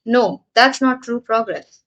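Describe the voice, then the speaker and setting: Patient woman teacher, higher voice. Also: unhurried, vocal fry, fairly bright tone. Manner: patient, repeats points, frequent "okay" fillers. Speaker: English teacher. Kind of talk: explaining a poem for exam students.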